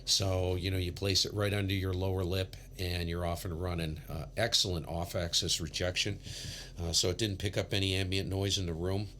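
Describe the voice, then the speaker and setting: A man with a very low voice hosts a casual ham radio livestream. He rambles in a laid-back, keen hobbyist way into a studio mic. Faint noise sits behind him. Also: little pitch movement, normal speed, a bit bright. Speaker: livestream host, amateur radio operator. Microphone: big studio condenser.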